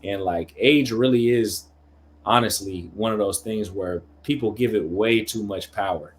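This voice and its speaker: A man's voice: fairly low pitch, measured pace, a little hiss or hum. A man in his late thirties speaking as a podcast guest in casual conversation, explaining his views in a reflective way. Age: late 30s. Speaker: podcast guest, hybrid athlete.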